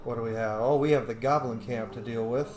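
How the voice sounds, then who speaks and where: A man's voice: mid-range voice, fairly even intonation, a little fast, music in the background. A man hosting a gameplay video with casual, rambling, sweary commentary and a sardonic edge. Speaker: video game streamer and host. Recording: roomy, faint background noise.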